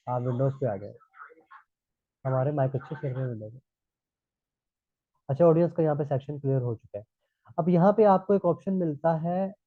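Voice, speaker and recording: A man's voice: medium pitch, dry recording. A man from Pakistan with a sore throat, teaching a live online class and checking in with students as he explains. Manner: explanatory, repeats "thik hai" checks. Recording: tinny phone-like line.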